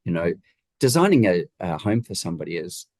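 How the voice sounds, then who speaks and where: A man's voice: sits low, big pitch swings, fairly quick, darkish tone, dry recording. A man with a New Zealand accent giving conversational, emphatic interview answers, often making his points with rhetorical questions. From Wellington region, New Zealand. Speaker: guest, home designer.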